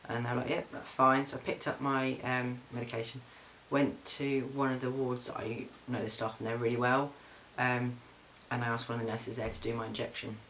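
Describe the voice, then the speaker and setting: A young man records a casual video diary at home, speaking in a mid-pitched, fairly monotone voice. He talks in a loose, halting way, filling gaps with ums and restarting his sentences. A faint hiss sits under the recording. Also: low-quality recording. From England. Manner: chatty, frequent ums and restarts. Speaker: vlogger. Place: flat, indoors.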